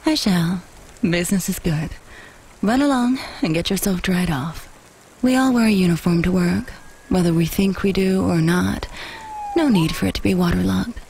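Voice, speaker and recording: A woman playing a brisk, self-assured dominatrix in a video game, formal, clipped and commanding. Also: lowish voice, darkish tone, a little hiss or hum, close to an everyday voice, poor audio.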